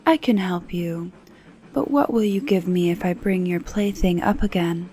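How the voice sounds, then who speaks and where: A woman's voice: lowish voice, moderate pace, mellow, lightly acted, rough recording. A woman voicing an earnest, bargaining frog who speaks formally in a bedtime fairy tale. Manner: earnest, formal storybook speech.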